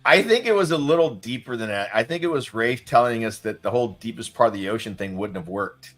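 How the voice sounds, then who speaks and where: A man in a casual fan discussion, talking in an animated, jokey way. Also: mid-range voice, fast talker, faint music behind. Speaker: podcast co-host.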